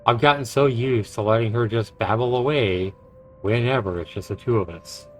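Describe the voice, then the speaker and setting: A man's voice: mid-range voice, normal speed. A man who streams games, reading visual novel narration aloud and reacting to it. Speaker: game streamer. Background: game music.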